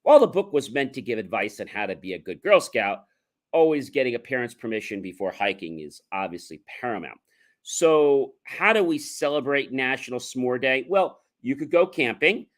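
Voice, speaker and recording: A man speaks at a middle pitch as the host of a motivational livestream. His delivery is conversational and rambling, wandering through the monologue and leaning on emphatic asides. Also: animated, moderate pace.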